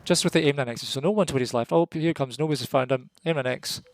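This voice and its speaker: A Scottish man giving live, casual, excitable commentary on a competitive flight game match, voice jumping up at the big moments. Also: medium pitch, quick pace. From Scotland. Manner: excitable, rises sharply at kills; casual and jokey.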